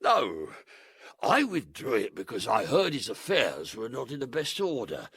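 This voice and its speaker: An oily villain barber, fake polite, husky voice that swoops all over, period audio drama. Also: male voice, mid-range voice, wildly swooping pitch, a little slow, a bit bright, no room sound, clean studio sound, exaggerated voice. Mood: smooth evasion. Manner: unctuous, theatrical, swooping delivery.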